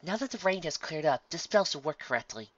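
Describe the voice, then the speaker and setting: A man's voice: on the high side, big pitch swings, a little fast, fairly bright tone, muffled recording, voice pushed up. A man voicing an earnest, cautious female unicorn pony who explains and instructs in a story reading. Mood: explaining.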